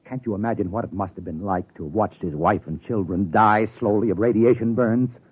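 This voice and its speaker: A man acting in a 1950s radio drama as a compassionate, authoritative captain, earnest and deliberate, on a muffled old recording. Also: on the low side, slightly rough. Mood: impassioned. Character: compassionate, authoritative male spaceship captain, grave and understanding.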